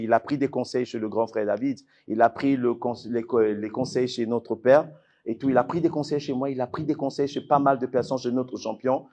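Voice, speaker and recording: A man's voice: medium pitch, darkish tone. A man with an Ivorian accent, working as master of ceremonies at a fight event, hyping up a live audience with emphatic, repeated phrases.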